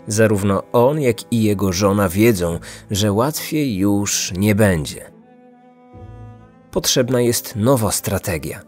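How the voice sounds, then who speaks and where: A man in his thirties narrates a scripted true-crime story. His voice sits low, and music plays underneath. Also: husky. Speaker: true-crime podcast host.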